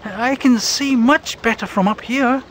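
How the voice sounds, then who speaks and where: Squeaky, flustered little robin girl, as a man voices her. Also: unhurried, slight background noise. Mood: excited. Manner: flustered, stammering, excitable. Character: small excitable female robin. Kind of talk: storybook character voice.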